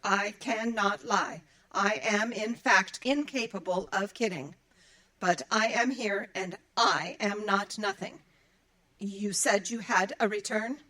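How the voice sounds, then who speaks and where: A woman's voice: medium pitch, slow, bright, forceful tone. A woman playing a polite, literal-minded android librarian in a radio drama, speaking formally and precisely. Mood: precise, defensive. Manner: formal, precise, programmed recitation. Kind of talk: live radio drama acting. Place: performance club stage, before a live audience.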